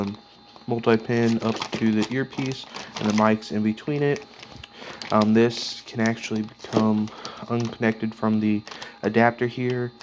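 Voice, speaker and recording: A man with a fairly low voice talks through his gear in a casual, unscripted way. His intonation is very flat and his delivery comes in halting pieces. Clear noise and clicks sit behind the voice. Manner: halting, piecemeal delivery while handling items. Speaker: radio hobbyist showing his gear. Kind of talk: casual, unscripted show-and-tell of equipment. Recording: clearly noisy background with clicks.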